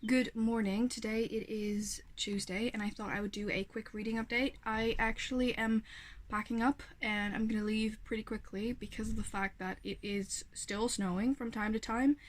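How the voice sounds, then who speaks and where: Woman, 20s, somewhat high pitch, chatty, enthusiastic book vlogger. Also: steady tone, normal speed. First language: Dutch. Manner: chatty, gushing, self-correcting. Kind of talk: casual reading vlog update.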